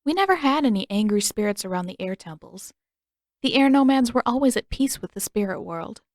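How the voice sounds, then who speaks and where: A woman's voice: on the high side, expressive, a little fast, darkish tone, no echo, voice pushed up. Earnest young boy hero acted by a woman, easygoing, casual delivery, audiobook, dry recording.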